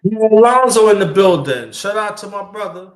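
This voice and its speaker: A man in his fifties from New York hosts a loose live-stream talk. His voice sits a little high for a man, and he is outgoing and quick to shout out people in the chat. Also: expressive, low-quality recording. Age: 50s. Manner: outgoing, gives loud shout-outs. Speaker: livestream host.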